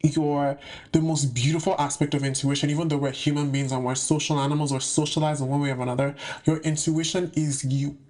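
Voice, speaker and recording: A man's voice: fairly high pitch, level tone, brisk, a little mellow. A young man from the UK giving a casual, slangy monologue to camera about spiritual topics, with playful asides. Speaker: spiritual content creator.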